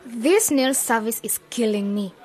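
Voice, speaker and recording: An actress playing a bossy, impatient young singer in a radio drama, speaking in a sharp-tongued, commanding way. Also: female voice, a little high, animated, unhurried, breathy edge. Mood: exasperated.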